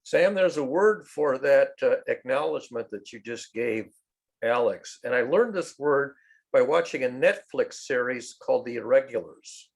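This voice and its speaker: A man's voice: mid-range voice, animated, moderate pace, vocal fry. A man in a casual group discussion, explaining an idea expansively and at length. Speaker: meeting participant.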